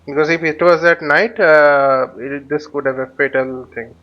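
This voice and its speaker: A man giving an informal flight simulator tutorial, explaining haltingly as he thinks aloud. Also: fairly high pitch, steady tone, lo-fi sound. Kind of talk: informal tutorial commentary while flying a simulator.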